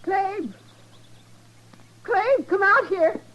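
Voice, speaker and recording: An actress playing an anguished American frontier wife and mother in a 1950s radio western, with a husky voice. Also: very high-pitched, extremely slow, a bit bright, slight background noise, heavily acted. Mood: stricken. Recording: muffled old radio recording.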